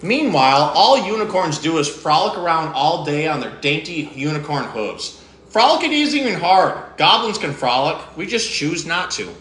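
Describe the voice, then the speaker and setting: A man's voice: a little high, sing-song, normal speed, a bit bright, roomy sound. A man reading aloud as a grumpy, jealous male goblin who complains indignantly. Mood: grumbling.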